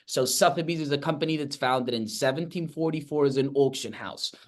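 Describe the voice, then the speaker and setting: A man's voice: fairly high pitch, a little fast. A man from Spain with a Spanish accent, hosting an interview casually with lots of fillers and restarts. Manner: enthusiastic, many fillers and restarts. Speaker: podcast host, real estate agent. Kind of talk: casual video-call interview.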